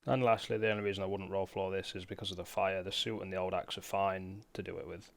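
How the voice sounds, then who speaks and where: A man with a slightly low voice talks through a game guide. His delivery is casual and matter-of-fact, with faint noise behind him. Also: flat delivery, quick pace, vocal fry. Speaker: gamer presenting a guide.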